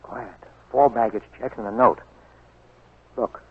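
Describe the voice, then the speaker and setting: A tough American reporter in a vintage radio play. He is punchy, stubborn and impatient, with a rough husky voice on a narrow, tinny recording. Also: medium pitch, fairly even intonation, a little slow. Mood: tense, determined. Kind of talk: 1940s radio drama acting.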